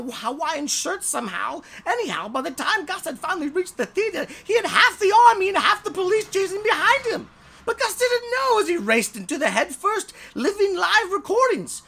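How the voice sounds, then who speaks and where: An animated storyteller reads a children's bedtime tale with a bright, forceful voice and big swings in pitch. The delivery is theatrical and a little halting, doubling back on words and slipping in jokey asides. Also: gravelly. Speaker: author reading his own short story.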